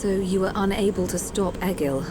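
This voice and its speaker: A woman playing a gentle, dignified elder of an ancient race in a game, speaking formally. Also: medium pitch, brisk, very noisy, natural voice. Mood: gentle, grave. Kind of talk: video game cutscene acting. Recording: loud music behind.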